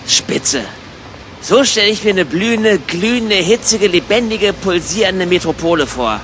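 A man's voice: high voice, lively intonation, unhurried, fairly bright tone, a little hiss or hum. A man playing an eager, practical young German emigrant in a radio play, chatty and mildly exasperated as he talks and narrates. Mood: narrating.

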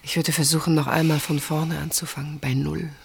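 Earnest woman confiding in halting phrases. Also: low-pitched, little pitch movement, gravelly, breathy edge, heavily acted. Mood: earnest, grave. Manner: deliberate, halting, confiding, with weighted pauses. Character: earnest older woman, sister pleading for a marriage. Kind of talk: German radio play dialogue.

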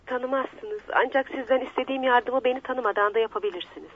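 An actress playing a coquettish, distraught young betrayed wife in a radio play, speaking in a formal, pleading, melodramatic way. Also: female voice, fairly high pitch, quick pace, slight background noise, close to an everyday voice. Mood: hesitant, pleading. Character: distraught, coquettish 22-year-old betrayed wife seeking help. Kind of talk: radio theatre acting. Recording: dull lo-fi radio drama recording.

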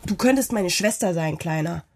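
A woman's voice: fairly low pitch, lively intonation, moderate pace, over music. An actress playing a cheeky, impatient East German teenage girl in a radio drama, teasing and brusque. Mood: mocking.

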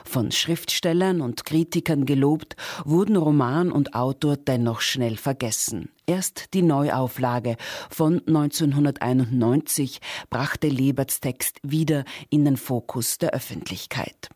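A man's voice: medium pitch, a little slow, a little mellow, dry recording. Dry-sounding male radio presenter. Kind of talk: scripted introduction to a radio play.

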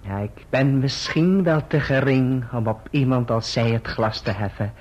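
An actor in a radio play as a scheming clerk, falsely humble, insistent and brazenly triumphant, with a husky voice. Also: male voice, medium pitch, a little slow, darkish tone, lightly acted. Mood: gloating, oily. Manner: oily, mock-deferential, insistent. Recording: muffled old radio play recording.

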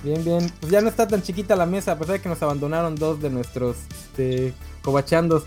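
A man's voice: fairly high pitch, steady tone, a little fast, darkish tone, soft background music. A man with a Mexican Spanish accent hosting a casual live-streamed comic-book chat, rambling and correcting himself as he goes. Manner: rambling, restarts often, self-correcting. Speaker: podcast host.

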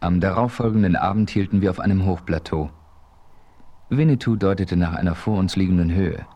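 Low-voiced male radio play narrator. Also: fairly quick, dark tone, dull audio, soft background music.

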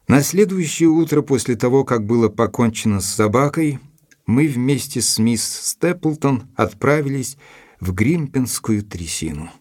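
An actor playing a sober male doctor who narrates a detective story in measured, literary phrasing for an audio drama. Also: mid-range voice, lively intonation, darkish tone, no echo, clean studio sound. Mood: measured, grave. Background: music.

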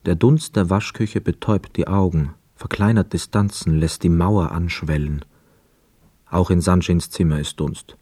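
A pensive war veteran telling his story in a radio play. His deep, dark voice is measured and brooding, recorded dry and close. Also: fairly even intonation, slightly rough, no room sound. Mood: pensive, observing. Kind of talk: radio play first-person narration.

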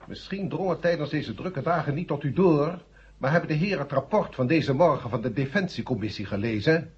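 A man's voice: on the high side, lively intonation, fairly quick, breathy edge. An actor playing a stern, indignant space agency chairman in a 1970 radio drama, speaking formally and pompously. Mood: indignant, probing. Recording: dull, muffled old radio recording.

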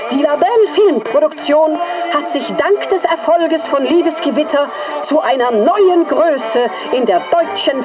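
An actress playing a proud, nationalistic 1930s newsreel announcer in a radio drama, emphatic and declamatory. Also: female voice, high-pitched. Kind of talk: old cinema newsreel announcing.

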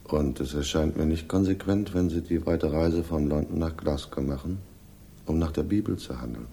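An actor playing a self-assured, pious police inspector in a radio crime drama, measured and condescending in his authority. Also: extremely low pitch, creaky, mellow, slight background noise. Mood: cool, warning. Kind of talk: 1960s German radio crime drama acting.

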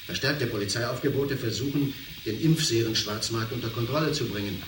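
A man's voice: mid-range voice, brisk, lightly acted. A man playing a grave, urgent field reporter delivering a formal dramatic report in a science fiction radio drama. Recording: echoey, distant, noisy field broadcast.

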